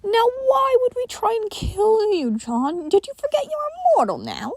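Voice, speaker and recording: A woman's voice: extremely high pitch, wildly swooping pitch, moderate pace, dry recording, voice pushed up, exaggerated voice. A woman voicing a teasing, grinning inhuman woman in an audio story, playful and chiding. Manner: playful, chiding, mock-sweet.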